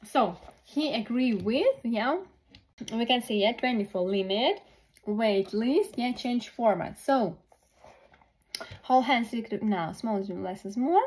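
A woman tutoring test preparation, thinking aloud through questions slowly with many pauses and fillers. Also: a little high, lively intonation, darkish tone, breathy edge, poor audio.

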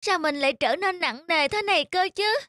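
Lazy young cartoon swan, very high voice, childlike, exclamatory, music behind. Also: female voice, animated, moderate pace. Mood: pleading, regretful. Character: vain, lazy young pink swan, carefree and greedy. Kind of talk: animated fairy-tale acting.

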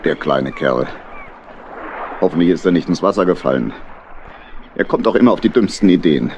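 A man's voice: sits low, animated, normal speed, a little mellow, muffled recording, soft background music. A man playing a remorseful, worried badger uncle in a children's audio play, sorrowful and sighing. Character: grown male badger uncle, remorseful, worried, good-hearted.

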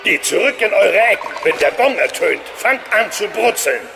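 An actor playing a blustery crab restaurant owner as a fight referee in a cartoon radio play, calling out like a showy ring announcer. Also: male voice, sits high, expressive, moderate pace, creaky, background noise. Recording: loud music and crowd behind.

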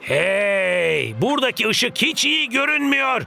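A vain star footballer from a kids' cartoon. His voice is very high, sharp and gravelly, and he preens melodramatically over himself with pompous, fussy flair. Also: sing-song, measured pace, heavily acted. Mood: indignant vanity. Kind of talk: animated children's cartoon dub.

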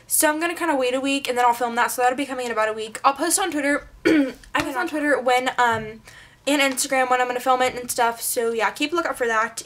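A woman with a slightly high voice chats quickly and loosely, piling on fillers as she runs through her makeup favorites. Her voice is worn and congested, as if she's losing it. She sits close to a camera in a small bedroom, with faint noise behind her. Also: a bit bright. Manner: chatty, rambling, filler-heavy. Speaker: beauty vlogger. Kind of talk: casual product review. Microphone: camera mic at close range.

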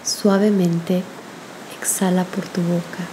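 A woman leading a guided spiritual meditation in a gentle, soothing manner with long pauses. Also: lowish voice, level tone, slight background noise, rough recording.

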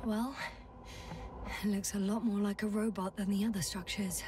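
A woman voicing an observant, level-headed space scientist in a video game, reporting what she sees in a measured way. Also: mid-range voice, fairly even intonation, recorded from a distance, noisy background, lightly acted. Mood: calm, observant.

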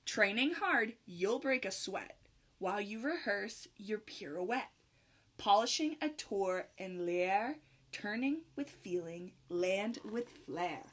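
A young adult woman reading a rhyming picture book aloud to children in an expressive, sing-song manner with clear phrasing. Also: mid-range voice, takes it slowly. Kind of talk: children's read-aloud, rhymed storytelling.

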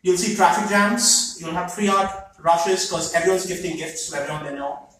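A man's voice: sits high, steady tone, normal speed, fairly bright tone, a little hiss or hum. A young man from India with an Indian accent, a student giving an articulate, impassioned statement at a public meeting. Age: young adult. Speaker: student speaker at public comment. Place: large meeting hall, heard from the audience. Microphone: phone recording from far back in the room. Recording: very echoey hall from far off.

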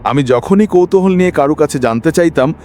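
A man narrating a scripted horror story in a dramatic, suspenseful, expressive way. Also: on the high side, a little fast, a little hiss or hum.

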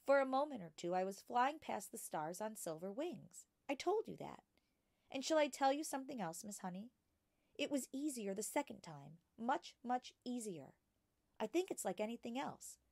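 Curious, precocious little girl acted by a woman, storybook read-aloud, eager and excitable, in a dry space. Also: on the high side, moderate pace, creaky, no echo, pitched above the performer's own voice, exaggerated voice. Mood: delighted. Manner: excited, questioning, childlike eagerness. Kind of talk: children's storybook character reading.

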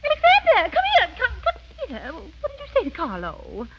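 An actress playing a flighty, scatterbrained wealthy society wife in a 1930s radio comedy, fluttery and indignant. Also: female voice, extremely high pitch, wildly swooping pitch, normal speed, full character voice. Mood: frantic, shrill. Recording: dull, noisy 1930s radio recording.

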